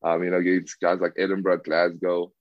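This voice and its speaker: Brief: young South African man, very low voice, hesitant rambling, dry dull lo-fi sound. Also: monotone, fairly quick, dry recording. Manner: hesitant, many fillers and restarts. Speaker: rugby player guest. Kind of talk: casual interview answers.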